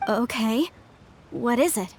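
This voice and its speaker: Earnest, high-voiced young scholar. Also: female voice, expressive, takes it slowly, darkish tone, a little hiss or hum. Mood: firm, earnest. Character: earnest, proper, idealistic young female scholar. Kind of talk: anime game voice acting. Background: game music.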